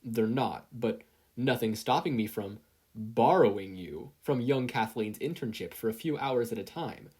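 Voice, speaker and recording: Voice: medium pitch, unhurried, a little mellow, husky. A performer voicing a hearty, fatherly older male superhero mentor in an audiobook style, proud and declamatory. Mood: proud, encouraging. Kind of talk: audiobook-style character acting.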